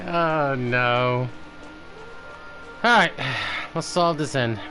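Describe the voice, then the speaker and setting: A man's voice: medium pitch, very slow, bright, forceful tone, faint music behind. A man streaming a game with casual live commentary.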